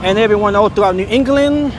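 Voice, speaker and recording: A man's voice: high-pitched, fast talker. A man hosting a casual walking livestream outdoors on city streets at night, easygoing and chatty. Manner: easygoing, chatty, repeats phrases. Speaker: livestreaming vlogger host. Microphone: handheld phone. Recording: phone mic with loud street noise. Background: street and traffic noise.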